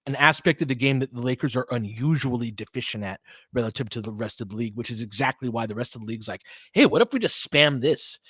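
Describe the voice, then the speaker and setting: A man's voice: mid-range voice, no room sound. A man giving conversational, partisan basketball play-by-play commentary, reacting openly to the action as it unfolds.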